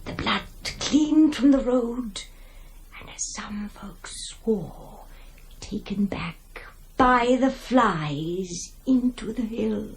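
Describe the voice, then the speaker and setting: An actress playing a kindly old granny who tells grim village tales in a folksy, hushed, dramatic way in a radio horror drama. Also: female voice, a little high, expressive, extremely slow, a little hiss or hum, exaggerated voice. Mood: ominous, hushed.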